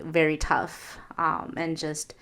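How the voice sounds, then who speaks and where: A young woman co-hosting a relaxed podcast, her voice sitting a little low. She rambles through her thoughts with plenty of "um"s and restarts, trailing off softly. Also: slow pace. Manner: rambling, many fillers and restarts. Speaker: podcast co-host. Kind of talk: casual podcast chat.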